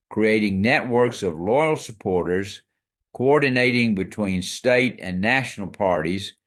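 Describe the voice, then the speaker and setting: A man co-hosting a scripted history podcast, explaining facts in an emphatic, storytelling way. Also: lowish voice. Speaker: co-host.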